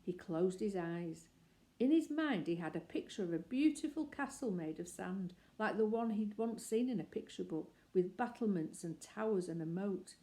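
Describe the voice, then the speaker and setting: A woman reading a children's chapter book aloud, telling it in a gentle, expressive storybook manner. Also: medium pitch, normal speed. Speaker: storybook reader.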